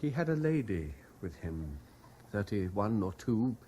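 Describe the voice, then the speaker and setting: A haunted man in a 1970s radio drama. His low, dark voice swings widely as he talks, halting and anxious, with an obsessive intensity. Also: big pitch swings, slight background noise. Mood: nervous, probing. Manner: hesitant, halting.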